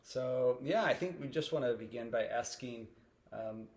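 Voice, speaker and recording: A man who is a pastor hosting a casual interview, speaking in a relaxed way with many uhs and ums. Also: mid-range voice, moderate pace. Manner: relaxed, filler-heavy, uh and um. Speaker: host, pastor.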